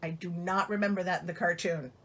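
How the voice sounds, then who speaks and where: A woman's voice: lowish voice, steady tone, quick pace, fairly bright tone, slight background noise. A woman reading a storybook aloud in a theatrical way, breaking in with sarcastic, exasperated comic asides. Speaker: storyteller, host of a reading show.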